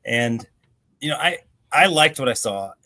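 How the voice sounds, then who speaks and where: A man's voice: mid-range voice, expressive, unhurried, bright, forceful tone. A man talking sports as a co-host on a video call, in a conversational way with rhetorical questions thrown in. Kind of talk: casual sports discussion over video call.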